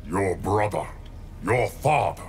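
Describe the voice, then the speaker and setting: An actor playing a stern, solemn, imperious fighter in a video game, speaking in a measured, formal way. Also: male voice, deep voice, animated. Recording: loud music behind.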